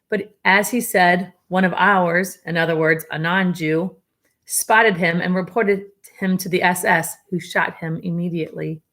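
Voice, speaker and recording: A woman teacher reading a memoir aloud to her students, at a steady pace with small slips she corrects, and now and then a casual aside to the class. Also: lowish voice.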